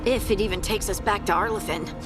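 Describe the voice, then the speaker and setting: A woman's voice: medium pitch, fast, natural voice. A woman playing an earnest, insistent scout in a fantasy video game party. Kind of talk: video game cutscene acting. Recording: loud music behind the voice.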